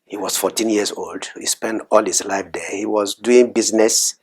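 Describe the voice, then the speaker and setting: A middle-aged man from Cameroon, a guest in an interview, talking in a measured, soft-spoken way with frequent hesitations. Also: lowish voice, fairly even intonation, breathy edge. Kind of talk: interview conversation.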